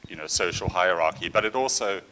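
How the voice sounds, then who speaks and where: A man's voice: low-pitched, animated, a little fast, sharp tone, low-quality recording. A man moderating a film Q&A on a cinema stage, asking polite, enthusiastic questions into a handheld mic. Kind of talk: prepared interview questions. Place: cinema stage with seated panel.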